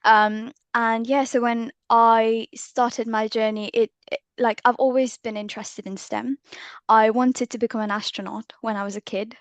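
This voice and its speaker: A woman's voice: fairly high pitch, little pitch movement, moderate pace, dry recording. A woman in her twenties from India, answering interview questions modestly with many ums over a video call. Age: 20s. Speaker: software engineer guest.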